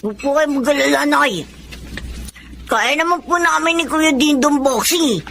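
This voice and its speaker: A woman's voice: high-pitched, normal speed, creaky, fairly bright tone, a little hiss or hum. A woman playing an eager, respectful young boy with boxing dreams in a Filipino radio drama. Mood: earnest, reassuring. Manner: respectful, earnest.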